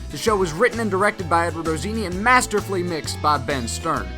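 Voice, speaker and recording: Local radio DJ played by an actor, breezy announcer patter, mid pitch, clear music bed. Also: lively intonation, quick pace, natural voice. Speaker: radio host.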